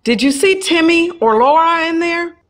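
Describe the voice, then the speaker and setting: A woman's voice: extremely high pitch, moderate pace, a bit bright. An actress playing a fretful, exasperated mother of an unruly family in an audio drama.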